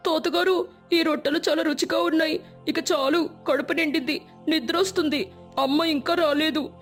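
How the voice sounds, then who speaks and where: A woman's voice: sits high, steady tone, moderate pace, soft background music, pitched above the performer's own voice, close to an everyday voice. A woman voicing a small, innocent village boy, caring and anxious, in a moral storybook tale. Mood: sad, anxious.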